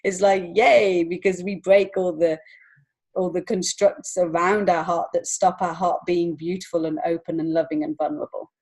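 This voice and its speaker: A woman's voice: fairly low pitch, steady tone, moderate pace, a little mellow. A woman speaking as an interview guest in an earnest, reflective and encouraging way. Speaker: podcast guest, children's author and illustrator. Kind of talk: conversational interview answers.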